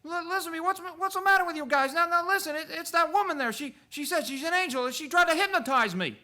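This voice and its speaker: A man's voice: extremely high pitch, quick pace, sharp tone, heavily acted. A man playing a desperate, agitated family man who stammers and repeats himself in a radio play. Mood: frantic.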